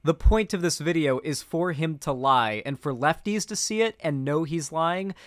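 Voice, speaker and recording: A young man commentates on politics in a streamer's off-the-cuff style. His voice sits a little high and the sound is very dry. He restarts phrases often and talks with sardonic, sweary bite. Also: dry recording. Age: young adult. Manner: halting, repetitive, sardonic, sweary. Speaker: streamer reacting to a video. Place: indoor streaming room.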